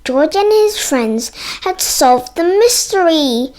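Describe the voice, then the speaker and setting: High-voiced, very slow storybook reader, female. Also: expressive, a little mellow. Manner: halting reading, stumbles over words and sounds them out. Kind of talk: read-aloud narration of a children's book.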